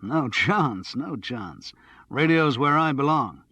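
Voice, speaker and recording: A man voicing an affable, assured male radio presenter in an audiobook, with clear professional charm. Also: mid-range voice, animated, slow, sharp tone, no echo, voice pushed up, heavily acted. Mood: modest, affable.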